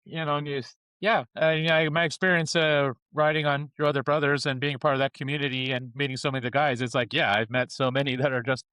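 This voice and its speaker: A man's voice: mid-range voice, level tone, fast talker, hardly any pauses, bright, forceful tone, clear, resonant tone, dry recording, rough recording. A man co-hosting a casual podcast discussion, rambling with lots of fillers and frequent chuckles. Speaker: podcast co-host.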